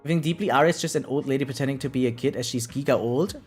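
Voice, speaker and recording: Thirties game streamer, medium pitch, casual rambling reactions, checking in with "right?", faint music. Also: fast, breathy edge. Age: 30s. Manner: rambling, keeps adding "right?". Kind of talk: casual live reaction commentary.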